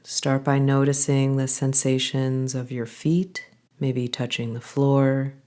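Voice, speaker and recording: A woman guiding a body scan meditation, giving calm, gentle instructions in an unhurried way with pauses. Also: very deep voice. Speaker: meditation guide.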